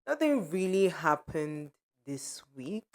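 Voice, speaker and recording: A woman co-hosting a casual podcast chat, easygoing and quick to laugh. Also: low voice, big pitch swings, darkish tone. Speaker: podcast co-host. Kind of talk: casual chat among friends.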